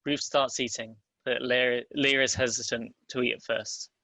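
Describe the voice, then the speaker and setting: A man's voice: medium pitch, flat delivery, moderate pace, vocal fry, pushed and bright, no room sound. A man reading out stage directions as the narrator of a script table read.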